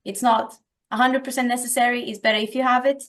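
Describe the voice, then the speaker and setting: A woman from Portugal with a Portuguese accent, explaining a recipe step by step in a calm, patient way. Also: a little high, fast. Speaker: guest instructor. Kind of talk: casual recipe instruction.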